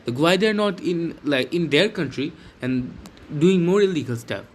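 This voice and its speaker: Young man from Bangladesh, higher voice, excited, stop-start vlog talk, slight background hiss. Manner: excited, halting, with many restarts and fillers. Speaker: vlogger. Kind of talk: casual talk to camera.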